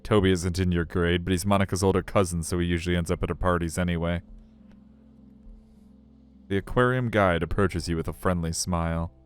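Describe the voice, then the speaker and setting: A man's voice: deep voice, fairly even intonation, a little fast, clean tone. A man narrating a visual novel on a live stream, with chatty, joking commentary and game music behind him.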